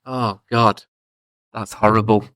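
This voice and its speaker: A man's voice: fairly low pitch, fairly even intonation, slow, fairly bright tone, no echo. A man in his thirties chatting casually and giving his opinions as a podcast guest. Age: 30s.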